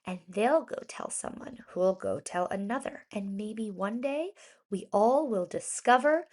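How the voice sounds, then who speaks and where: A woman reading a rhyming children's story aloud in an expressive, deliberate storytelling manner with emphasized words. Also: mid-range voice. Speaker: children's storybook reader, educator.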